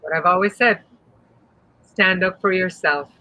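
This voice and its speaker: Expressive woman on a video call. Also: on the low side, measured pace, poor audio. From Iran. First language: Persian. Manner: expressive, sassy, earnest. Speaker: panel guest, actor. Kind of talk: candid panel conversation. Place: home room.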